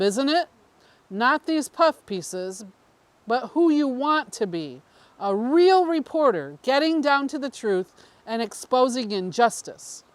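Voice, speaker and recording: A woman reads as a smooth-talking male politician in a story, secretive and persuasive. The delivery is slow and confiding, with lively swings of pitch in a high register. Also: no echo. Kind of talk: audiobook character dialogue.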